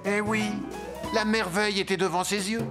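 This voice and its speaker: A man acts as a friendly storyteller for a kids' cartoon. His voice is fairly high and playful, over loud music. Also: little pitch movement, moderate pace, natural voice. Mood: wry, playful. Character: friendly, playful storyteller narrating a monkey's adventures. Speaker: narrator. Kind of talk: scripted children's cartoon narration. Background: loud background music.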